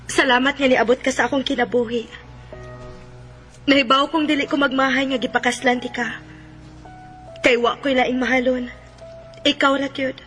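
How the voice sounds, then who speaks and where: A woman's voice: high voice, a bit bright. A woman with a Cebuano accent playing a tender, grateful young wife and mother in an emotive radio drama. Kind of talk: Philippine radio drama acting.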